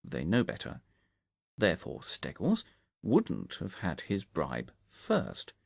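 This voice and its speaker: Shrewd gentleman sleuth played by a male reader. Low, creaky, very animated, methodical and confident storybook delivery. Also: slow, no room sound, exaggerated voice. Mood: confident, explanatory. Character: shrewd, self-assured gentleman detective explaining his methods.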